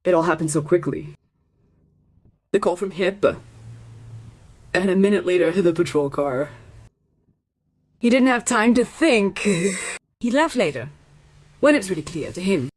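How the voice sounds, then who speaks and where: A low, husky female voice plays a housekeeper in a radio drama. She is defensive and agitated, pressing her points with bitter emphasis. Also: lively intonation, measured pace, long pauses, darkish tone. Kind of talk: radio play acting.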